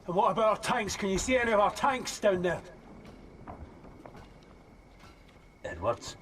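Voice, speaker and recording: An actor playing a middle-aged tank commander in a war video game, terse and commanding. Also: male voice, sits high, very animated, fast, fairly bright tone, a little hiss or hum. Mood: urgent questioning. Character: gruff, steady older male tank commander. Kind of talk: dramatic video game acting.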